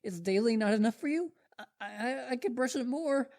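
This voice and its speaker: Casting: obsessive young wolf boy suitor played by a performer for audio roleplay; very lively intonation, eager, stammering, over-devoted. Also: mid-range voice, moderate pace, gravelly. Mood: desperate pleading. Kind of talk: roleplay audio drama monologue to listener.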